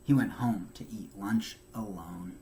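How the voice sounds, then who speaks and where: A man reading a children's book aloud as a teacher, with expressive, emphatic narration for young listeners. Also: on the low side, unhurried, slight background noise.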